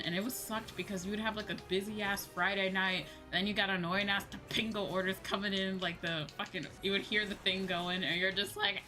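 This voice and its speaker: A young American woman livestreamer chatting casually, animated and sweary, acting out exchanges with exasperation. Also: fairly low pitch. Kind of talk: casual chat while gaming on stream. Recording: background music under the voice. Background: music.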